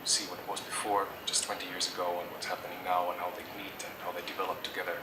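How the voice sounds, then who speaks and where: A man's voice: sits low, completely flat delivery, fast talker. A middle-aged man from Germany answers interview questions thoughtfully, hesitating and restarting often. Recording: echoey, distant mic, noisy background.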